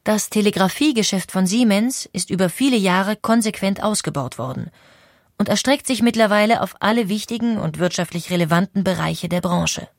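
A woman narrating a history documentary in a clear, composed storytelling manner. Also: mid-range voice, moderate pace, no room sound, clean studio sound.

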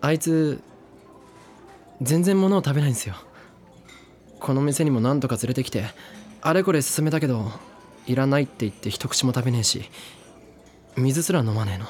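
A man's voice: mid-range voice, lively intonation, moderate pace, pauses often, darkish tone, a little hiss or hum, natural voice. An actor in a drama CD playing a reckless, thrill-seeking young man who talks in slangy, clipped casual speech. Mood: uneasy. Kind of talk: drama CD acting. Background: background music.

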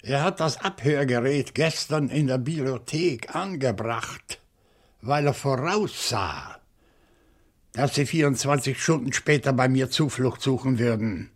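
An actor playing an agitated, accusing professor who questions deliberately and dramatically in a German radio crime drama. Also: male voice, mid-range voice, natural voice. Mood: grave, deductive.

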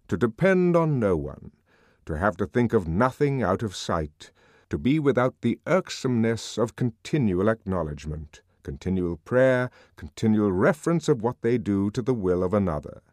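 A man's voice: fairly low pitch, sing-song, moderate pace, darkish tone, dry recording. Man acting authoritative world controller, wry, genial, lecturing, very varied intonation, dry close sound. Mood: solemn reading. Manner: genial, lecturing, drily teasing. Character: urbane, wry, authoritative male world controller, amused intellectual.

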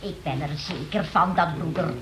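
An actress playing an effusively pious, meddling church sister in a Dutch radio play, unctuous and exclaiming. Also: female voice, low-pitched, moderate pace, natural voice. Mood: fervent, unctuous. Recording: roomy sound with loud music.

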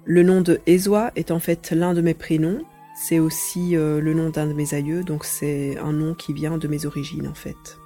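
A woman's voice: low-pitched, little pitch movement, normal speed, few pauses, mellow, soft background music. A woman answering as an interview guest in a conversational way.

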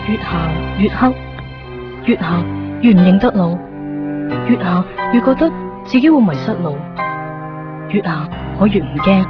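An actress with a Hong Kong Cantonese accent playing a soft-spoken, determined young woman in a 1990s radio drama. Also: mid-range voice, expressive, measured pace, pauses often, natural voice. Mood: resolute. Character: quiet, stubborn young woman searching for someone. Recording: dull lo-fi radio broadcast with music.

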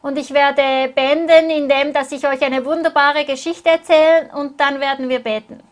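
A woman's voice: high voice, fairly even intonation, moderate pace. A woman interpreting a sermon phrase by phrase, speaking earnestly and closely following the preacher.

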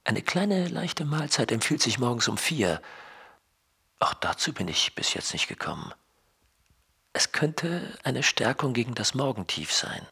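Overtired night shift hospital man, raspy but sharp voice, clipped clinical report delivery, radio play. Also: mid-range voice, very animated, unhurried, heavily acted. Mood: dry, clinical.

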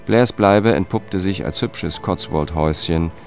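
A weary, disgraced lawyer in middle age, the confiding lead of a radio drama. His voice sits very low and his delivery is measured and understated. Music runs faintly underneath. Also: creaky. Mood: measured, narrating. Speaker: first-person narrator and lead character. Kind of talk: German radio drama, narration and dialogue. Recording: studio radio play with music.

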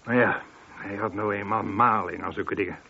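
A man's voice: on the low side, fairly even intonation, normal speed, vocal fry, sharp tone, a little hiss or hum. Muffled, weary older man in a radio play. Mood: weary, explaining. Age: late 50s. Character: weary, defensive man nearing sixty, wealthy gun maker. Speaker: radio drama actor. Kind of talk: Dutch radio play acting. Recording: muffled, band-limited old radio recording.

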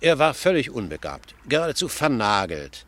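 An actor playing a wry, gossipy ship passenger in a radio play, telling tales in with a sardonic manner. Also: male voice, mid-range voice, normal speed. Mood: amused, mocking. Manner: sardonic, chuckling, animated storytelling. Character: wry, worldly, gossipy male ship passenger, mocking raconteur. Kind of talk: German radio play dialogue.

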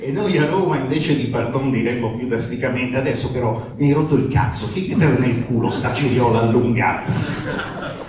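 A man's voice: mid-range voice, moderate pace, crowd noise. A man giving a comic reading of a humorous letter in a wry, colloquial manner. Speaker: reader at a live book reading. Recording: very echoey room, distant mic, faint noise.

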